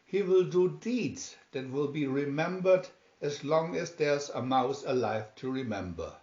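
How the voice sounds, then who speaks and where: A man's voice: fairly high pitch, lively intonation, measured pace, darkish tone. A man voicing a proud, easily amazed old father mouse from a cartoon storybook, in an exclamatory, declaiming way. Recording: roomy, slightly dull home recording.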